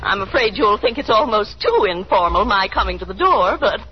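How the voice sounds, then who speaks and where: A woman's voice: medium pitch, lively intonation, moderate pace, husky. An actress playing a fussy middle-aged mother with affectedly gracious, chattering manners in a 1930s radio drama, on a muffled noisy broadcast. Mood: gushing. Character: fussy, genteel middle-aged mother putting on social airs.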